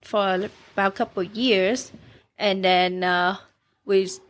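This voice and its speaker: A woman's voice: medium pitch, steady tone, unhurried. A young woman from Vietnam with a thick Vietnamese accent, a bakery owner telling her story in a podcast interview, using simple broken English with fillers. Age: young adult. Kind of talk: casual interview chat.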